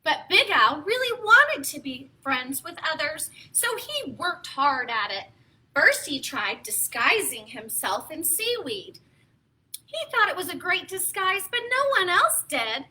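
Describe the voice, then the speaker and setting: A woman reads aloud for young children as a storytime host. Her voice is extremely high and very bright, and she takes her time with slow phrasing. The delivery is theatrical and playful, with exaggerated expression. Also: big pitch swings, poor audio.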